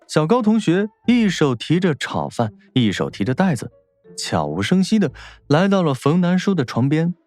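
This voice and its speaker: A man narrating a scripted audiobook in an expressive storytelling manner. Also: on the high side, normal speed, faint music behind.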